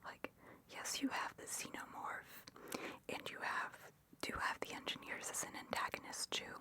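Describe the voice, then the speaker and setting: A woman whispering softly and breathily in an informal, opinionated and enthusiastic ASMR ramble. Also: moderate pace. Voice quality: soft breathy unvoiced whisper. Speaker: ASMR video host.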